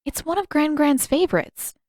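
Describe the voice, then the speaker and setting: A woman's voice: high voice, moderate pace, darkish tone, no echo, studio quality, voice pushed up, close to an everyday voice. A woman voicing an earnest, curious young teenage girl in a story reading. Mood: bewildered. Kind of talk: audiobook character dialogue.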